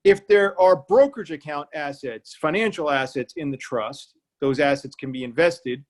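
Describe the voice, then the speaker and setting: A man with an Irish accent and a slightly high voice speaks as an elder law attorney at a seminar. He is plain-spoken and comes back to key points again and again to drive them home. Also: normal speed. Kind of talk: public library seminar talk. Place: remote online presentation.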